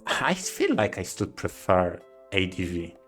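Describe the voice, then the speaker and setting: A man in casual podcast chat as a co-host, speaking haltingly and often repeating words as he forms his thoughts. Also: sits low, very animated, a bit bright, breathy edge, faint music behind.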